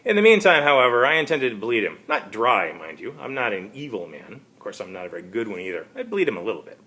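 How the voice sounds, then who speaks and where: A man giving an amateur reading of a first-person story, narrating in a halting, wry way. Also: on the low side, very animated, pushed and bright, low-quality recording. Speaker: author reading his own story.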